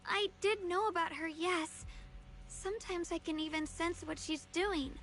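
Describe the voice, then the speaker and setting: High-voiced, earnest young swordswoman. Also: measured pace, a bit bright, slight background noise. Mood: apologetic. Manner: hesitant, earnest. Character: apologetic young swordswoman with a solemn other self. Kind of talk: video game voice acting.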